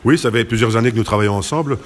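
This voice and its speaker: A man's voice: medium pitch, racing, a little hiss or hum. A man answering interview questions as a committee president, explaining in detail and often correcting himself.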